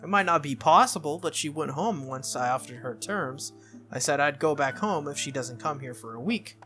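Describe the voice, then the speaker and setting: A game reader voicing a brisk, teasing, confident teenage anime schoolgirl with a breezy, needling manner. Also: medium pitch, lively intonation, fairly bright tone, soft background music, close to an everyday voice. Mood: matter-of-fact.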